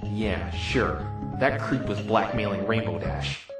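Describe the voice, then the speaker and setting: A man's voice: on the low side, animated, slow, over music. A man voicing a wry, suspicious young lawyer's dry inner monologue in a visual novel dub, with an echo effect. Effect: echo for thoughts. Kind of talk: visual novel fandub acting. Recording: echoing inner-thought reverb.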